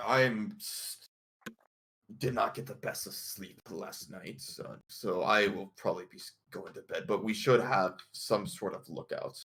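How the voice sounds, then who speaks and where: A man improvising in-character tabletop roleplay, speaking in a halting, stammering and rather formal way. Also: lowish voice, creaky, fairly bright tone.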